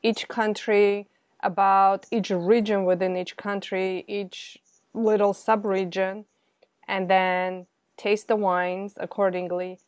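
A woman's voice: mid-range voice, a little mellow, dry recording. A woman hosting a casual interview, chatty and enthusiastic. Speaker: interview host.

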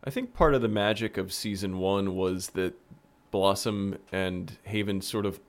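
A man's voice: low-pitched, measured pace. A man hosting a casual roundtable conversation about a show he works on. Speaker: podcast host and show creator.

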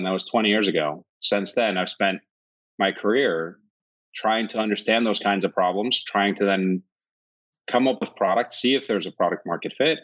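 A man from Iceland, a company founder answering interview questions in a steady, thoughtful way, often listing points in runs. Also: sits low, muffled recording. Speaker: guest, tech founder.

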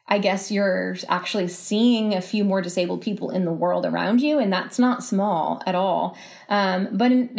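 A woman's voice: medium pitch, a little mellow. A woman giving thoughtful, conversational interview answers, reasoning aloud and rephrasing as she goes. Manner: reflective, exploratory, repeats and rephrases as she reasons. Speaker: interview guest, writer.

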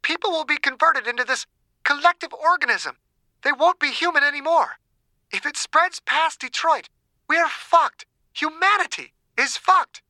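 Urgent female scientist in an outbreak thriller, played by an actress: desperate, blunt, high and sharp, closely dry-recorded. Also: normal speed, dry recording, poor audio. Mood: desperate, insistent. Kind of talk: dramatised audiobook acting.

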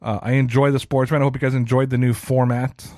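A man's voice: mid-range voice, level tone, quick pace, a little mellow, no room sound, clean studio sound. A man in his thirties hosting a solo sports podcast, talking casually and ranting with a self-deprecating streak. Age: 30s. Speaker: podcast host.

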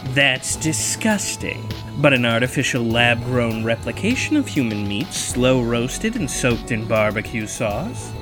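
An actor playing an indignant, menacing man in an audio drama, sarcastic, profane and confrontational as he defends himself. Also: mid-range voice. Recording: music playing underneath.

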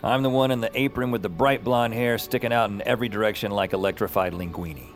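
A man's voice: fairly low pitch, quick pace, soft background music, natural voice. An actor playing a wry, rattled young man who narrates his own strange story in a colloquial, self-deprecating way. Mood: wry, reflective. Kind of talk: first-person audio drama narration.

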